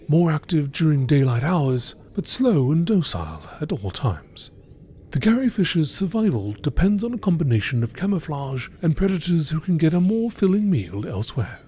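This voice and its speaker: A man delivers measured, scripted narration in the style of a nature documentary. His voice sits a little high and has a mellow tone, with lively, varied intonation. There is faint noise behind him.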